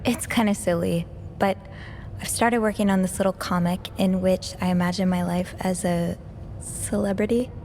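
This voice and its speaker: An actress playing an earnest, curious young amateur sleuth in a video game, speaking in a hesitant, thoughtful, understated way. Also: female voice, on the low side, fairly even intonation, normal speed, warm tone, slight background noise, lightly acted. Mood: shy. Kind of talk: naturalistic video game acting.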